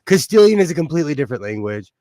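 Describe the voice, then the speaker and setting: A middle-aged man hosting a casual live stream, chatting and laughing often with quick asides. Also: a little high, sing-song, mellow, dry recording, studio quality. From Mexican-American family. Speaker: livestream host.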